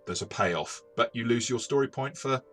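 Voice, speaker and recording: A man's voice: medium pitch, moderate pace, fairly bright tone, soft background music. A man talking through a tabletop game review as its game master, explaining in a conversational, self-correcting way.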